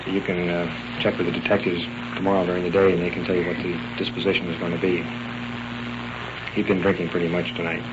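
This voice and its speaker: An American man working as a police sergeant, talking matter-of-factly and politely in halting official phrases, captured live on a muffled vintage radio recording. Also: sits low, flat delivery. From United States. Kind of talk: unscripted on-duty conversation, recorded live. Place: out on a night patrol house call. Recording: muffled, very noisy 1950s radio field recording.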